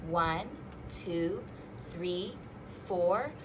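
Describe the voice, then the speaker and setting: A woman hosting a children's storytime, reading rhymes and picture books aloud in an animated, sing-song, exaggerated way. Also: on the low side, extremely slow, background noise. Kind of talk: interactive children's read-aloud with rhymes and fingerplay.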